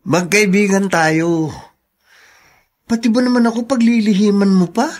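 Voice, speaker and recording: A man's voice: sits high, unhurried, darkish tone. An actor playing a teasing, nosy male buddy in a radio drama, talking in a slangy, chuckling way. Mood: teasing, curious.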